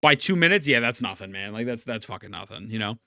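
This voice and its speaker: A man's voice: fairly low pitch, lively intonation, fast, gravelly, sharp tone, no echo, studio quality. A man streaming live, reacting to a video and chatting casually with his viewers, rambling and putting himself down while greeting chat. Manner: rambling, self-deprecating, greets chat often.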